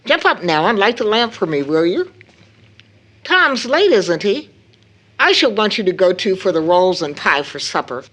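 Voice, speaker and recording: Tender, expressive mother. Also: medium pitch, normal speed. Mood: fond, gently busy. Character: tired, loving, anxious mother of two. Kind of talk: stage-drama audio acting.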